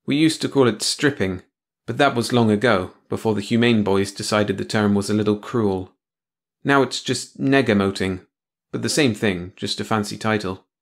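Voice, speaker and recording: A man's voice: lowish voice, moderate pace, natural voice. A man voicing a weary, cynical male official who speaks with dry bitterness. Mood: bitter.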